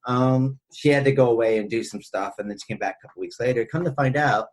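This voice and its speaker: Male podcast co-host, mid-pitched, casual and rambling, conversational film discussion with loose filler-heavy phrasing. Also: fast.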